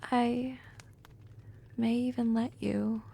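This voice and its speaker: A woman playing a smug, gently dominant female vampire in an intimate ASMR roleplay, speaking softly and often slipping into whispers, teasing and coaxing. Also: on the high side, flat delivery, takes it slowly, a little hiss or hum. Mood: earnest, coaxing. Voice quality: soft, breathy, often dropping into whispers.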